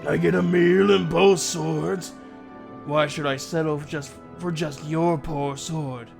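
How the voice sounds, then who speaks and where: A man's voice: on the high side, fairly even intonation, slow, gravelly, music in the background. A man voicing a huge, sly dragon from a storybook, haughty and menacing as it guards its hoard. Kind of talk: storybook villain voice.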